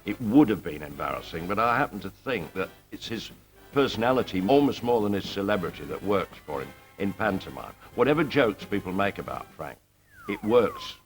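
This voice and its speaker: A man with a deep voice gives measured commentary in an interview. Faint music sits behind him. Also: big pitch swings, rough recording. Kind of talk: measured opinion in documentary interview.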